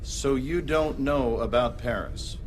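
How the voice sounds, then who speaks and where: A man's voice: slow pace, lightly acted. Stern interrogator played by a man, noisy recording. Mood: pressing. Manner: blunt, pressing. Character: stern, pressing male interrogator. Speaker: actor in a military video game. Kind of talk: dramatic video game cutscene acting. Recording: noisy background, rumbling ambience.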